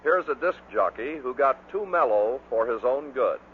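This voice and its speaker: A man's voice: medium pitch, animated, moderate pace. An American man reading scripted narration that introduces a series of clips. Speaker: narrator of a bloopers record. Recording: tinny band-limited vintage record, faint noise.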